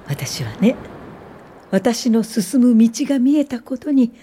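Gentle, mellow older woman professor. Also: fairly high pitch, lively intonation, slight background noise. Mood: nostalgic, content. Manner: soft, polite, unhurried, old-fashioned feminine Japanese. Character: gentle older woman professor of social work, warm and encouraging. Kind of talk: radio drama acting and reminiscing narration.

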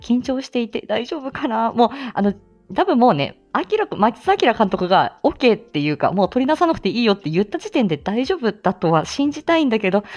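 Lively, giggly woman chatting casually. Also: medium pitch, highs cut off. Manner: giggly, self-deprecating. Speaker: host of a personal audio stream. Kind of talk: casual chat.

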